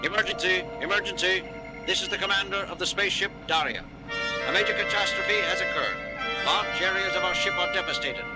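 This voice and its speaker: Male actor as alien starship commander, high-pitched, declamatory, pleading, radio-play distress broadcast, loud music underneath. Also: fairly quick, natural voice. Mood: urgent, desperate. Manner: urgent, declamatory. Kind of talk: recorded distress broadcast in an audio drama.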